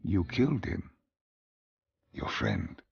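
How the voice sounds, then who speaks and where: A man playing a stern, probing interrogator in a video game, questioning in a measured way. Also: low voice, wildly swooping pitch, creaky, slightly rough, no room sound, muffled recording, exaggerated voice.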